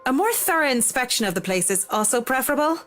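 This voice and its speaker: A woman's voice: high-pitched, animated, fast, fairly bright tone. A woman playing a polite, businesslike professional in a visual novel game. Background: background music.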